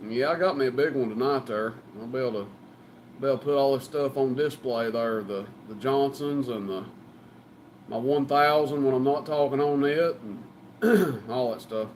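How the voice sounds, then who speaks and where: A man's voice: mid-range voice, normal speed, a little mellow, slight background noise. A man with a Southern US accent chatting casually as a CB radio operator, folksy and joking. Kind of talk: casual banter over CB radio.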